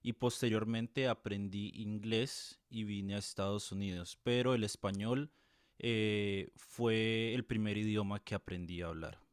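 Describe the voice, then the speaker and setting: A man from Colombia with a Colombian Spanish accent, a tutor and music teacher answering interview questions in a measured, explanatory way, often checking in with a quick tag question. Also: fairly low pitch, fairly even intonation, smooth, no room sound, studio quality.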